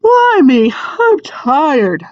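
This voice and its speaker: A woman's voice: high voice, huge pitch swings, extremely slow, a little mellow, dry recording, dull audio, voice pushed up, heavily acted. A woman voicing cheeky teenage twin brothers in a storybook, protesting and teasing. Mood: tired. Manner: cheeky, complaining.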